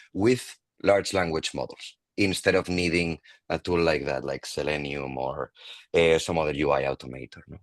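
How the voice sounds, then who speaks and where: A man's voice: deep voice, dry recording. A man on a community video call, explaining a new tech tool casually with many fillers and a habit of ending points with "no?". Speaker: product manager on community call.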